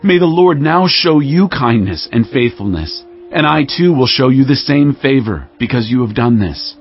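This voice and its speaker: A man playing an earnest, devout warrior king, speaking in a measured, declamatory way in dramatized scripture audio. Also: mid-range voice, animated, natural voice. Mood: solemn blessing. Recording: dull lo-fi audio with music.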